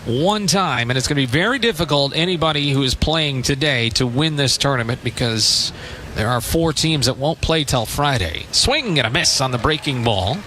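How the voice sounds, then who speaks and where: An American man doing live radio play-by-play of baseball in a measured, descriptive way, with short phrases and pauses. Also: medium pitch, lively intonation, sharp tone, a little hiss or hum. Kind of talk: live radio sports commentary.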